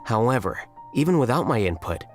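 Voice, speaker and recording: A man playing a calm, aloof young scholar in a video game, dryly sardonic and measured. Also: mid-range voice, faint music behind, lightly acted. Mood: matter-of-fact. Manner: deadpan, measured, formal.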